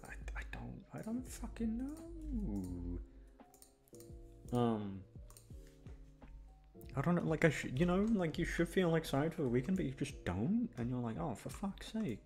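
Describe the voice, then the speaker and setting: A man's voice: on the high side, wildly swooping pitch, a little slow, gravelly, pauses often, darkish tone, soft background music. A man chatting casually to his listeners, rambling in a downbeat, complaining way and swearing freely. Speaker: channel host, audio voice actor.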